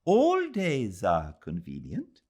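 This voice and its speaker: A man's voice: mid-range voice, huge pitch swings, takes it slowly, darkish tone, pitched above the performer's own voice, exaggerated voice. A man voicing a shy, agreeable lady in an audiobook, with brief gracious phrases.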